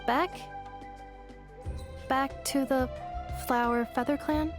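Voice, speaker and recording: A woman voicing a calm female android in a game, speaking in a flat, formal and precise way. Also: high voice, slow pace, loud music behind the voice. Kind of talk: game voice acting.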